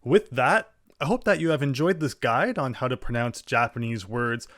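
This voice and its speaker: A man teaching a language lesson, explaining clearly and sounding out syllables slowly. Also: mid-range voice, expressive, fairly bright tone, no echo, studio quality. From English-speaking. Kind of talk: scripted lesson narration.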